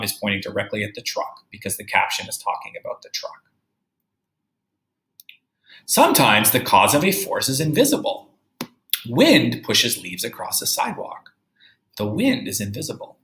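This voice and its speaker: Bright-voiced male teacher in a roomy space. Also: medium pitch. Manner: instructive, emphatic, checking understanding with tag questions. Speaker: third-grade teacher. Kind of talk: read-aloud lesson for children, explaining and reading.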